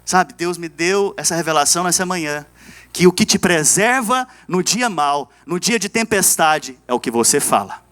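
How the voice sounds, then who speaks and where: A man's voice: a little high, expressive, moderate pace, fairly bright tone. A man with a Brazilian accent preaching an evangelical sermon as a pastor, emphatic, repeating key phrases and calling on the congregation to respond.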